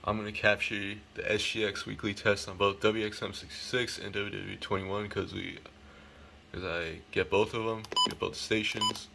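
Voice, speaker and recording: A man with a fairly low, creaky voice presents a casual device demo. He talks informally, as a hobbyist hosting his own video. The recording is heavily noisy. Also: little pitch movement, normal speed. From United States. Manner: informal, occasionally swearing. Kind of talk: casual unboxing and setup demo.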